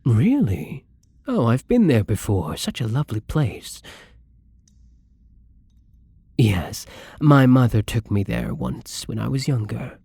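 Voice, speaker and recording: A man playing a lonely, gentle vampire in an intimate ASMR roleplay, speaking softly and haltingly with a wistful tenderness. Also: medium pitch, big pitch swings, gravelly, husky, dry recording, exaggerated voice. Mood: wistful, fond.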